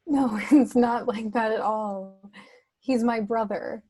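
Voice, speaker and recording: A woman reads a tender heroine in a film table read. The voice is a bit high and husky, sure of itself and close in a dry recording. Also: fairly even intonation, takes it slowly, darkish tone, no echo. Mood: tender, reassuring. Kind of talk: amateur screenplay table read.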